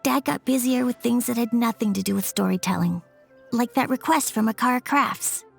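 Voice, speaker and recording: Earnest shy boy, slightly high with some fry; soft delivery. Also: normal speed, soft background music. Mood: wistful. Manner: soft, hesitant storytelling. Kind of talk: game voice acting.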